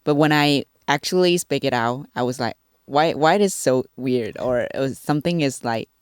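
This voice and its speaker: Very low-voiced Taiwanese woman host. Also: normal speed, clear, resonant tone, no echo, clean studio sound. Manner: soft-spoken, many murmured agreements, simple learner's English. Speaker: podcast host. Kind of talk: casual podcast conversation.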